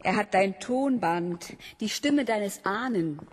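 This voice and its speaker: A young female student in a German radio drama, curious and principled. Her voice sits at an ordinary pitch, with clear noise behind it. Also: lively intonation, slow. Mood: eager.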